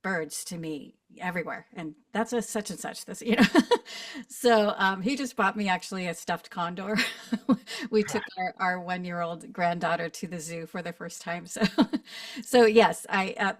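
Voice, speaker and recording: A woman's voice: fairly low pitch, moderate pace, no room sound, studio quality, far from the mic. A middle-aged woman, a voice actor, talking casually on a video call, rambling with restarts and laughs. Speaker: narrator and voice actor guest. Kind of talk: casual online panel chat.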